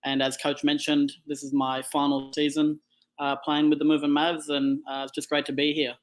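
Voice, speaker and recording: Australian man with flat, level pitch. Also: normal speed, rough recording. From Darwin, Australia. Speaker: college wheelchair basketball player, guest. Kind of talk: casual interview talk.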